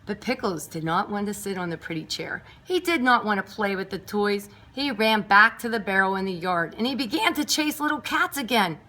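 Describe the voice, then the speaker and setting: A woman reading a picture book aloud to nursery school children, expressive and emphatic, with chatty asides. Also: medium pitch, normal speed, few pauses. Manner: emphatic, clearly paced for young children.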